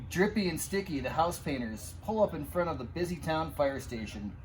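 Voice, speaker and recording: A man reading a children's picture book aloud in an animated, expressive way, with asides to young listeners. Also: a little high, normal speed, a little hiss or hum, rough recording. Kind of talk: animated storytime read-aloud.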